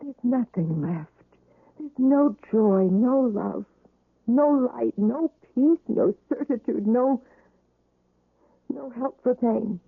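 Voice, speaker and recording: An actress playing a weary, despairing wife recovering from surgery, mournful and yearning, in a radio drama. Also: female voice, fairly high pitch, animated, slow, vocal fry, pauses often, breathy edge, phone-quality sound, full character voice. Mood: despairing, longing. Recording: roomy, band-limited old radio recording.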